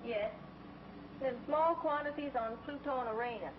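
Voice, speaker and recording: A woman's voice: high voice, normal speed, highs cut off, lightly acted. A woman playing an earnest, caring young heroine in a 1950s TV drama. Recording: distant, hissy old TV sound.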